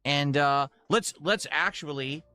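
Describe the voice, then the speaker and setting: A man's voice: fairly high pitch, slow, sharp tone. A man chatting casually to his viewers on a gaming livestream in a rambling, audience-focused way.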